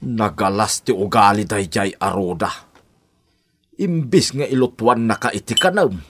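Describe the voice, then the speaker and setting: Man playing a low-voiced, protective old grandfather. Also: unhurried, slight background noise, close to an everyday voice. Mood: indignant. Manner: firm, grumbling, reproachful. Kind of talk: Ilocano radio drama acting.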